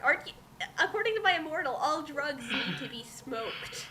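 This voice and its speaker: Bright high-pitched woman, casual and teasing. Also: lively intonation, normal speed, slight background noise, poor audio. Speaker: co-host of fan fiction reading. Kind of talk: casual joking chat.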